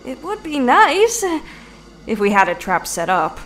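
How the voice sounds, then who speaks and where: A woman playing a wry, nagging superhero sidekick in an audio drama, deadpan and teasing. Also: a little high, unhurried, faint music behind. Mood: wry, cautioning.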